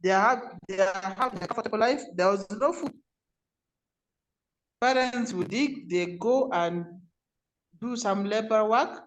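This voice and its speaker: A man's voice: sits high, a little slow, pauses often, rough recording. A man with a Ugandan accent telling a personal story in an online meeting.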